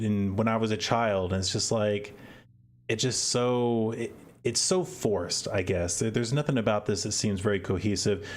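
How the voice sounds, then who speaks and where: A man hosting a podcast, giving casual, exasperated commentary and often repeating words as he thinks aloud. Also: lowish voice. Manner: opinionated, exasperated. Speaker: podcast host.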